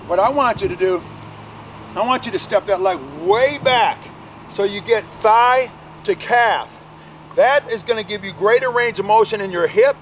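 High-voiced energetic male fitness coach. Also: normal speed, slight background noise, low-quality recording. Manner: energetic, emphatic, casual slang. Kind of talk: outdoor workout demonstration. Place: outdoor park playground.

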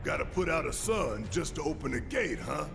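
An actor playing a big, hot-headed resistance fighter in a video game, with a gruff American voice and a brash, slangy, theatrical delivery. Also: male voice, a little high, sing-song, normal speed, music in the background. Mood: determined. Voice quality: gruff, gravelly.

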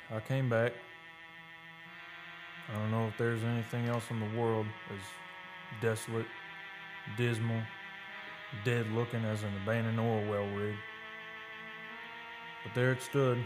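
An actor playing a haunted oil driller in a radio drama, telling his story in a folksy, halting, suspenseful way. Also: lowish voice, lightly acted, rough recording. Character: haunted male oil driller recounting a terror. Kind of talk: live old-time radio drama monologue. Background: live dramatic music.

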